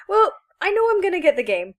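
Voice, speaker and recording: A woman co-hosting a podcast in casual chat, animated and opinionated. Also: very high-pitched, normal speed. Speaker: podcast co-host.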